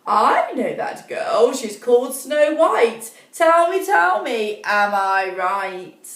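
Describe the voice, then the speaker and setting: Lady doing a solemn magic mirror from a kids story, talks in rhyme, slow n echoey. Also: fairly high pitch, sing-song, a bit bright, heavily acted. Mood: urgent, dramatic. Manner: speaks in rhyme, dramatic. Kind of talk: children's storybook reading. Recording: roomy, distant microphone.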